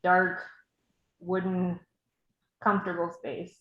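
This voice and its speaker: A woman's voice: on the low side, completely flat delivery, takes it slowly, echoey room, dull audio. A woman author chatting casually in an interview, giving brief, friendly replies.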